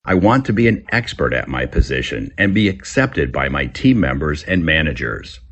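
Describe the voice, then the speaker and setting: A man reading scripted English-learning texts aloud as a teacher, in a gravelly, husky voice. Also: very deep voice, sing-song, normal speed, fairly bright tone.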